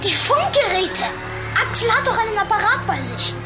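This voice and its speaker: A woman's voice: extremely high pitch, big pitch swings, normal speed. A woman playing a bookish young boy detective in a children's audio drama, precise and explanatory but easily rattled. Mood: worried, urgent. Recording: music behind the voice.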